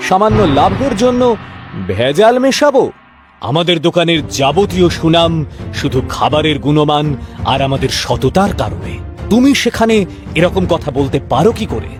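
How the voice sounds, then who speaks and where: A man's voice: on the high side, very animated, moderate pace, music in the background. A man playing an ageing, principled sweet-shop owner and stern father in a cartoon tale, speaking earnestly and emphatically. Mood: stern, indignant. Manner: earnest, indignant when crossed, emphatic repeated questioning. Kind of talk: cartoon fairy-tale voice acting.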